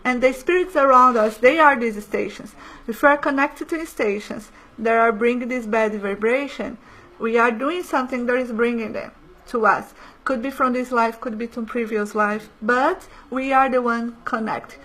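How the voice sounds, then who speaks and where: A woman's voice: on the high side, moderate pace, low-quality recording. Earnest woman giving a spiritual lecture. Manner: earnest, gentle, slightly non-native phrasing.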